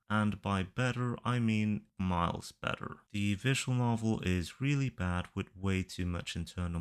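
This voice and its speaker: A man narrating opinionated reviews in a matter-of-fact, measured way, as a video creator. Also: low-pitched, clean tone. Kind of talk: opinionated review commentary, semi-scripted narration.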